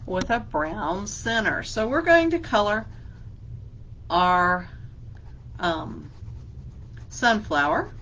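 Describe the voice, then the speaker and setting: A woman librarian talks children through a craft, slow and patient, stopping to ask simple questions. Her voice sits a little low. A faint hiss runs under the recording. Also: a bit bright. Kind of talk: children's story time craft lesson.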